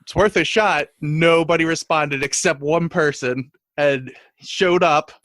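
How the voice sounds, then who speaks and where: Lively high-voiced man in a dry room. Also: measured pace, no room sound. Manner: animated storyteller, teasing, giggly. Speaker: guest co-host of comedy podcast. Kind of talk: casual comedic banter.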